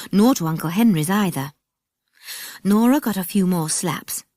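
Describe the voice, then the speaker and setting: A woman's voice: lowish voice, darkish tone, no echo. A woman reading scripted narration for a children's audiobook, close to a studio microphone.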